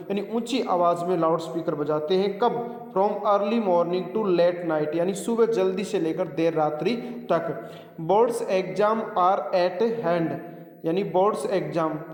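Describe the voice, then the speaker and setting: A man with an Indian accent, a native Hindi speaker, teaching a bilingual English lesson, deliberately repeating phrases and explaining them piece by piece. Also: sits high, a little mellow. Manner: didactic, repeats phrases slowly and deliberately word by word. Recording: echoey, reverberant room.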